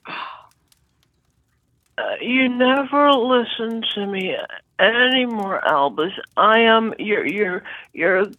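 A performer voicing a weary, fed-up phoenix who complains plaintively and haltingly in an improvised comedy sketch. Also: medium pitch, dry recording, close to an everyday voice. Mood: weary, aggrieved. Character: weary fed-up phoenix, Dumbledore's aggrieved spirit companion. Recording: dull, noisy recording with clicks.

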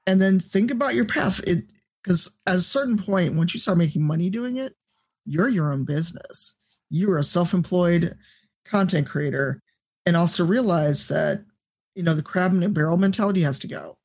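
Deep-voiced woman on a podcast, kinda husky, giving blunt no-nonsense streaming advice. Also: expressive, normal speed, a little mellow. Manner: blunt, profane, straight-talking advice.

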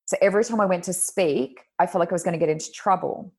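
Loud, animated woman telling a story. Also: on the low side, quick pace, slightly rough. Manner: animated storyteller, acts out her own thoughts. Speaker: podcast co-host. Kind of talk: casual conversational storytelling.

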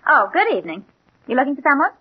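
High-voiced young nurse. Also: female voice, very animated, rapid-fire, slight background noise. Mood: polite. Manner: polite, helpful. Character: young, polite female hospital night nurse. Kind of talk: 1940s radio drama acting. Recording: muffled old radio recording.

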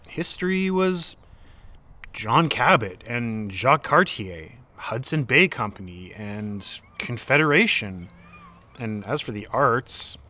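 Defensive, exasperated man, animated intonation. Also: fairly low pitch, exaggerated voice. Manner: argumentative, earnest, exasperated. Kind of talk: naturalistic audio drama dialogue.

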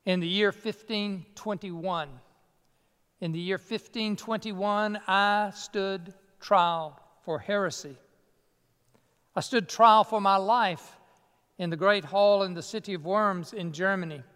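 A pastor playing monk Martin Luther. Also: male voice, sits high, slow, a bit bright, smooth, big-room sound. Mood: earnest. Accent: Southern US. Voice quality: folksy, self-deprecating. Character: Martin Luther, old German monk reformer, earnest. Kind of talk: costumed sermon monologue. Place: church sanctuary.

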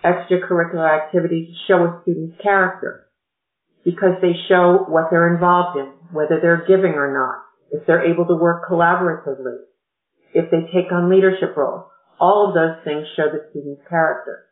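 A woman's voice: lowish voice, fairly even intonation. Woman consultant on a tinny, echoey line. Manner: measured, explanatory. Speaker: educational consultant. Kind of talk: podcast interview.

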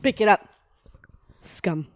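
A woman's voice: slow pace, no room sound, natural voice. A woman playing a hostile bad cop who goads and swears at a suspect during an interrogation. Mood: menacing. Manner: sneering, goading, repeats "huh?", swears.

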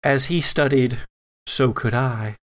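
A man voicing a quiet, steely grandmother in a tabletop game, measured and firm. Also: mid-range voice, close to an everyday voice.

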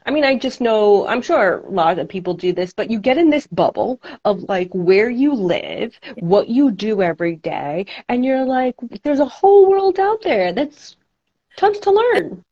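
A woman's voice: on the high side, very animated, a little slow, warm tone, dull audio. A woman with a New York accent hosting a podcast, chatting casually with lots of fillers and restarts over a video call. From United States. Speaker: podcast host. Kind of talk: casual chat.

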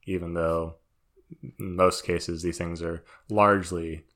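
Deep-voiced man thinking aloud. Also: flat delivery, slow, a bit bright. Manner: thoughtful, reasoning aloud. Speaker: podcast co-host. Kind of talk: casual chat.

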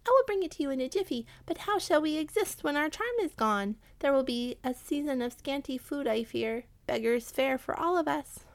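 A woman's voice: sits high, expressive, normal speed, hardly any pauses, voice pushed up. A woman voicing an anxious, honourable male rat in a children's story, pleading in wordy, very polite phrases. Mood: anxious, obliging. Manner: pleading, wordy, formally courteous. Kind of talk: children's animal storybook character.

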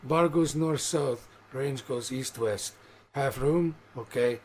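A man's voice: mid-range voice, takes it slowly, a little mellow, slight background noise. A man playing a blunt orc barkeeper. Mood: brisk. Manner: broken grammar, clipped rules. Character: gruff male orc barkeeper, blunt and practical.

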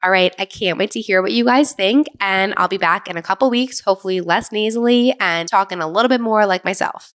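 A woman's voice: mid-range voice, a little fast, hardly any pauses, fairly bright tone. A young woman hosting a podcast, sounding stuffy and nasal from allergies, gushing in a casual chat from her bedroom floor. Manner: bubbly, effusive. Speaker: podcast host. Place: on the floor next to her bed at home.

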